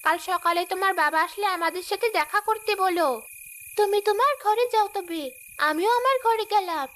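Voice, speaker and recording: Woman as an extremely high-pitched, earnest cartoon mother. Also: fairly quick, fairly bright tone, slight background noise. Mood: grateful, hospitable. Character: trusting, anxious village mother. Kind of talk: cartoon story dubbing. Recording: music bed under the dialogue.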